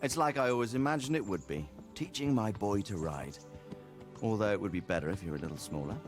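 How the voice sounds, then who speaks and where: A man performs a middle-aged medieval nobleman for a cinematic game. He is easygoing and rueful, a reflective fatherly mentor whose pitch moves freely. Music plays behind his unhurried lines. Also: animated, close to an everyday voice. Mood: wry, amused. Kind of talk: cinematic video game dialogue.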